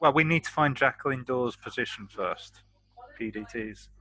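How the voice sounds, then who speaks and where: A man's voice: medium pitch, normal speed, sharp tone. A man chatting casually as a player in a tabletop role-playing game, asking questions and making suggestions.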